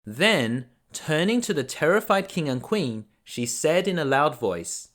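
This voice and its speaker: A man reading a children's bedtime story as a dad storyteller, expressive and deliberate. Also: fairly high pitch. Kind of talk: scripted bedtime story narration.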